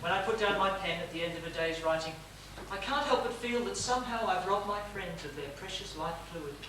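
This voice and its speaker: A man's voice: high-pitched, brisk, pushed and bright. A man playing a reflective, confiding writer in a stage monologue, literary in phrasing and projected to the house. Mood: wistful, genial. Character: reflective, confiding Russian writer narrating his stories. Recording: echoey theatre stage, distant mic, noisy.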